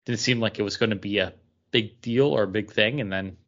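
A man's voice: fairly low pitch, a little fast, a bit bright, muffled recording. A man chatting casually as a podcast co-host. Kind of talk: casual chat.

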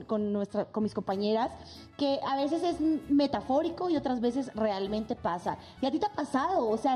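A woman's voice: high voice, warm tone. A woman from South America, co-hosting a podcast and asking a guest encouraging questions in a casual chat. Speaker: podcast co-host.

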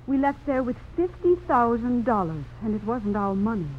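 Troubled society woman played by an actress, dramatic and expressive, vintage radio play, dull hissy broadcast. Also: on the high side, moderate pace, lightly acted. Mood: distressed. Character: weary, anxious Southern lady minding a reckless drunkard. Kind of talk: 1950s radio drama acting. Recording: dull, noisy old radio broadcast recording.

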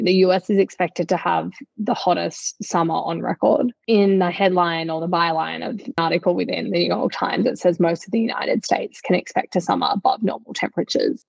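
Lower-pitched, husky, creaky-voiced woman podcaster. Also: a little mellow, dry recording, muffled recording. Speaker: podcast co-host. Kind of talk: conversational podcast news discussion.

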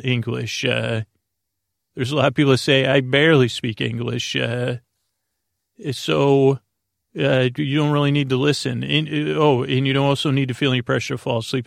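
A man hosting a bedtime podcast, rambling through a halting, mumbling monologue with a droning, creaky voice. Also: mid-range voice, no room sound, clean studio sound. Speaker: podcast host.

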